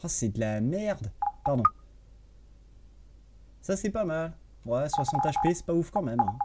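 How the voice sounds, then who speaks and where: A man's voice: on the high side, sing-song, measured pace, heavy background noise. A man in his thirties casually commenting on a farming game to viewers at home, chatty and swearing offhandedly. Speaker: gaming streamer. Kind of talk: casual let's-play commentary. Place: home room at desk. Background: faint game music.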